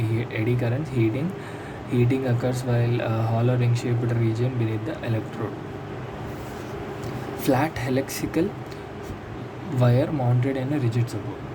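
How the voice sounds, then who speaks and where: Telugu man teaching over clear background noise. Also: mid-range voice. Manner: explanatory, repetitive, addressing a student directly. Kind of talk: informal lesson, reading out notes and explaining them.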